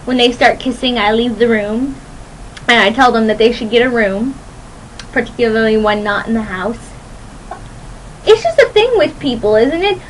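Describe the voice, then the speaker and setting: A young woman hosting a radio show, rambling casually to listeners in a chatty, playful, animated and giggly way. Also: on the high side, moderate pace, pauses often, a little hiss or hum, poor audio. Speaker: radio host.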